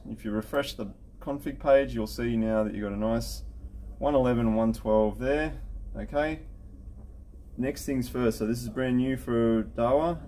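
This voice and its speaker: Low, mellow man giving a software tutorial. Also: a little hiss or hum. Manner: informal, matter-of-fact instructional talk. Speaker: intercom installer giving a software setup tutorial. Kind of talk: casual step-by-step screen tutorial. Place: indoor office at a desk with computer.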